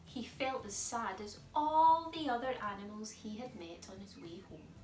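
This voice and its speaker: A woman narrating a children's storybook as a storytime presenter, expressive and speaking to young listeners. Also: medium pitch, normal speed. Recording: echoey room, distant mic, music behind.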